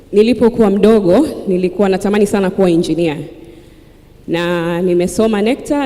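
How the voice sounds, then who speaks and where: A woman's voice: mid-range voice, warm tone. A woman on a panel telling her life story in a reflective, storytelling manner. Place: conference hall with audience. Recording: reverberant hall.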